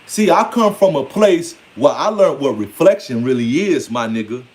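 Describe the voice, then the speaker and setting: A man's voice: mid-range voice, lively intonation, normal speed. An American man in his forties giving an informal, rambling monologue with emphatic, streetwise delivery and casual swearing. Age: 40s. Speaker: online speaker. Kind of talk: informal spoken monologue to followers.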